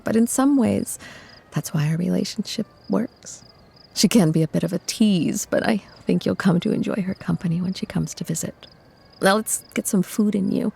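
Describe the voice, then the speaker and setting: Woman playing a sweet doting vampire lady, super breathy n creaky, soft asmr roleplay. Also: medium pitch, exaggerated voice. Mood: loving, soothing. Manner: tender, soothing, measured. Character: gentle, doting vampire woman protective of her mortal lover. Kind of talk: ASMR audio roleplay acting.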